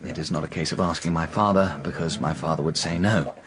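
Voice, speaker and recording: An actor playing a reckless, indebted young gentleman gambler in a radio drama, agitated, insistent and repeating himself as he presses. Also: very deep voice, steady tone, brisk, a crowd in the background. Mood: desperate bargaining.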